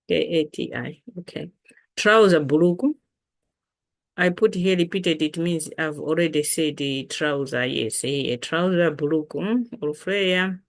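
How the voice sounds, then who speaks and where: Slow-spoken Malawian woman teaching vocabulary. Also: fairly low pitch. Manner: repeats words deliberately for learners. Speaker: language teacher. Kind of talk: informal vocabulary lesson.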